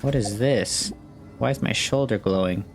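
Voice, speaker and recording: A man's voice: fairly low pitch, normal speed, gravelly. A man giving casual, slangy gameplay commentary as a video host, sometimes reading game text aloud. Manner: slangy, informal. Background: game music.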